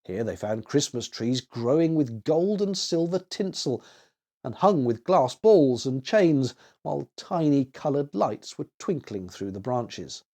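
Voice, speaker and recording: A man's voice: mid-range voice, a little mellow. A man reading a children's story aloud as narrator, expressively and with dramatic emphasis.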